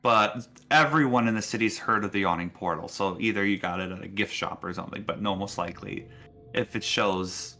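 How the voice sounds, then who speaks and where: A man casually narrating a tabletop roleplaying game as game master, explaining things in a halting, informal way. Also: fairly low pitch, bright, forceful tone, soft background music.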